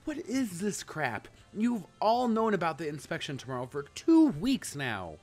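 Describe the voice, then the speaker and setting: A man's voice: on the high side, huge pitch swings, unhurried, faint music behind, voice pushed up, full character voice. A man voicing an exasperated, bossy young male dorm leader in a visual novel, indignant and commanding. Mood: furious, scolding. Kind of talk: visual novel character read-out.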